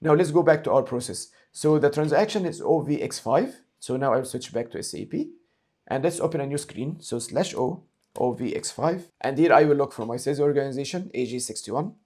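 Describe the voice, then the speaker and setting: A man narrating a screen-share software tutorial as an instructor, explaining each step methodically and repeating key points. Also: mid-range voice, animated, brisk.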